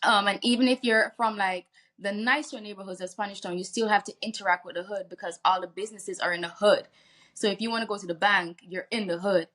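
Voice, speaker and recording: A woman's voice: medium pitch, fairly even intonation, fast talker, a bit bright. A woman from Jamaica, a singer talking candidly in a casual interview, laughing easily and restarting her sentences. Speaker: guest singer.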